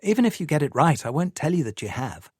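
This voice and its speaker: A man's voice: a little high, big pitch swings, fast talker, breathy edge, no room sound, studio quality, voice pushed up. A man voicing a cool, sarcastic young woman. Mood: mocking. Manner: dismissive, sarcastic.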